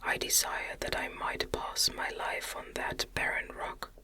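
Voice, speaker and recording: A narrator reading a classic story in a soft, breathy, soothing ASMR whisper with measured, deliberate phrasing. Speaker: audiobook reader.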